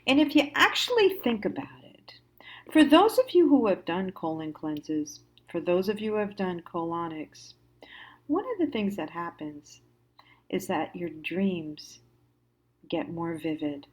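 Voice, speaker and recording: An American woman giving a reflective monologue as a host, musing haltingly and stressing key words. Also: on the low side, very animated. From United States. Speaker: host of a personal storytime show.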